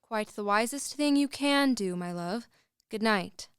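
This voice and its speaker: Actress playing fussy mother, genteel, high-pitched, smooth, radio play. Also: animated, unhurried, dry recording. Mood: fond, firm. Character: genteel, fussing mother, mistress of the house. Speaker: actor in a student radio play.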